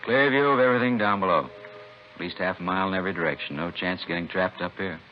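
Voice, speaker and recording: A man's voice: deep voice, quick pace, close to an everyday voice. An American man playing a drug dealer in a vintage radio drama, placating and bossy by turns. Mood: confident. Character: smooth-talking male drug dealer, placating but bossy. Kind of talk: 1950s radio drama acting. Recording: muffled, hissy vintage radio recording.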